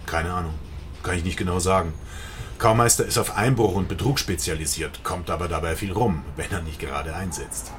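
An actor playing a chatty, knowing police colleague in a radio drama, explaining things wryly. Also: male voice, low voice, a little fast, a little hiss or hum, natural voice. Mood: informative. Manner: wry, explanatory.

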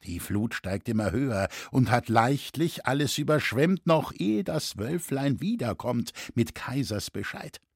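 A husky old craftsman from a historical storybook, played by a man, wry and mock-earnest. His phrasing is flowery and ironic, with wide swings in pitch, recorded close and dry. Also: lively intonation, a little slow, few pauses, dry recording. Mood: muttering, brooding. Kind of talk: audiobook character acting.

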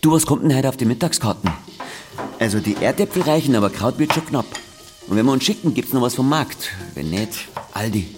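A laconic Bavarian inn helper played by an actor in a radio drama. The delivery is deadpan and practical in dialect, yet swings widely in pitch, with clear music and sizzling behind. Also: brisk, natural voice. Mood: matter-of-fact. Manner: laconic, deadpan, matter-of-fact. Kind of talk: audio drama dialogue in dialect. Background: music and sizzling kitchen sounds.

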